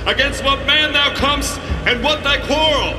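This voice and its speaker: Distant, very high-pitched calling herald. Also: male voice, moderate pace. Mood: stern, proclaiming. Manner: proclaiming, formal. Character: booming ceremonial marshal, stern herald of combat. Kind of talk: Shakespearean verse radio drama. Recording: distant, echoey noisy hall.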